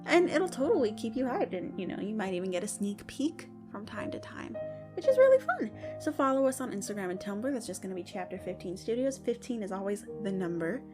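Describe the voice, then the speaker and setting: A woman podcast host with a lively, animated voice of medium pitch. She chats playfully and casually, addressing listeners like friends, over clearly audible music. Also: fairly quick. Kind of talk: casual, chatty audio drama outro. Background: prominent music.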